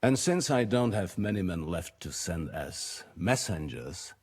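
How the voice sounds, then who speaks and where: A man playing a shrewd, weary middle-aged lord in a video game, speaking in a formal, measured and commanding manner. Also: sits low, sing-song, a little mellow, slightly rough, exaggerated voice. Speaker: medieval nobleman. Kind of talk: video game cutscene acting.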